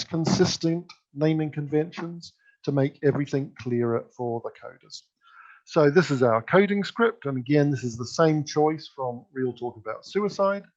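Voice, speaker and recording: A man's voice: on the high side, a little mellow. A man giving an instructive, emphatic conference keynote presentation. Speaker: keynote speaker. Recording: dull lo-fi sound.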